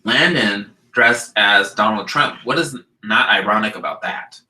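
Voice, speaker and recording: A man co-hosting a talk show, slightly nasal and congested from a recent illness, talking in an animated, chatty way. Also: lowish voice, measured pace. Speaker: co-host, drag performer. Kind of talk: casual chat. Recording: roomy echo.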